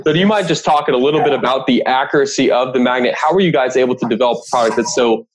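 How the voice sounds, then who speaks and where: A man's voice: mid-range voice, rapid-fire, a crowd in the background, poor audio. A man hosting a podcast, asking questions in a conversational technical interview style.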